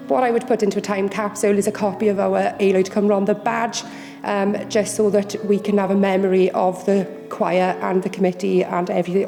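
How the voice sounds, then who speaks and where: A woman from a language choir introducing herself and the choir in a measured, earnest way. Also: medium pitch, creaky, warm tone, long reverb tail. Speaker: choir member. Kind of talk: scripted introduction to camera.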